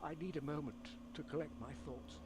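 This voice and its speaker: A man's voice: a little high, fairly quick, a little mellow, slight background noise, close to an everyday voice. A man voicing a distraught, devout old monk in a video game, faltering and pleading with emphasis. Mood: pleading, shaken. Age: older. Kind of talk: scripted video game character acting.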